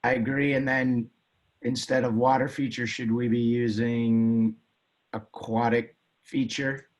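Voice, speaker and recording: A man's voice: medium pitch, level tone, unhurried, poor audio. A man making a comment in a committee conference call. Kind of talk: meeting comment.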